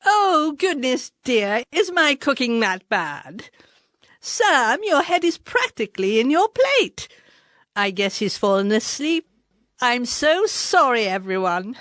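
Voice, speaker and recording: A woman's voice: fairly high pitch, very animated, takes it slowly, fairly bright tone, no echo, clean studio sound, heavily acted. A woman playing a prim, polite hostess wife in a radio murder mystery, speaking carefully. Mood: fretful. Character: politely apologetic hostess wife hiding her guilt.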